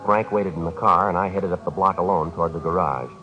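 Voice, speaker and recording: A man playing a terse, relentless American police detective in a 1950s radio drama, with clipped, deadpan delivery. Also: sits low, fast, a little hiss or hum, natural voice. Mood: matter-of-fact. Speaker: actor narrating and playing a detective. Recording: dull vintage radio broadcast with music.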